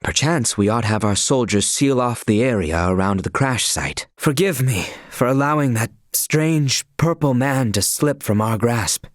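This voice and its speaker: An actor voicing a loyal young knight in a fantasy video game, speaking in formal, archaic courtly language with solemn earnestness. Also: male voice, medium pitch, animated, measured pace, few pauses, no room sound, clean studio sound, close to an everyday voice. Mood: earnest, formal, then contrite, determined. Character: loyal, earnest young male knight, dutiful royal retainer.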